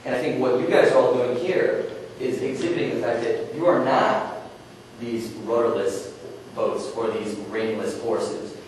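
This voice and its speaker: A man's voice: medium pitch, measured pace, a little mellow. A young man presenting a workshop in a very echoey conference room, heard from a distance off the mic. He talks earnestly and casually, asking the audience question after question. A faint hiss sits under his voice. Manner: earnest, questioning, conversational with fillers.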